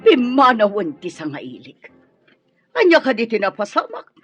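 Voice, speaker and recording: An actress playing a brisk, bossy Filipino mother of the household in an Ilocano radio drama. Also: fairly high pitch, very animated, music in the background. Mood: concerned.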